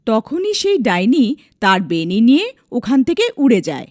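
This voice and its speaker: A woman's voice: fairly high pitch, very animated, unhurried, darkish tone, no room sound, studio quality. A woman narrating a scripted dramatic story as a storyteller.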